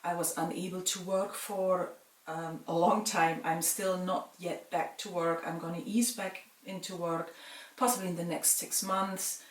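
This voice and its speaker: A middle-aged woman from Germany calmly answers viewer questions in a casual vlog, pausing with frequent ums. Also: fairly low pitch, far from the mic. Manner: calm, measured, with frequent ums. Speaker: vlogger. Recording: roomy sound from away from the mic.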